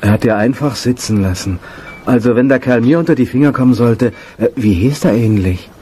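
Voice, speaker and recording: A man's voice: medium pitch, brisk, dark tone, a little hiss or hum, natural voice. A man playing a blunt, fatherly consoler in a radio drama. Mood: indignant, consoling. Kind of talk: German radio drama acting.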